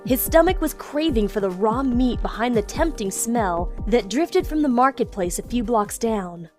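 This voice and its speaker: A woman's voice: on the high side, lively intonation, normal speed, darkish tone, soft background music. A woman narrator reading a scripted story in an audio drama.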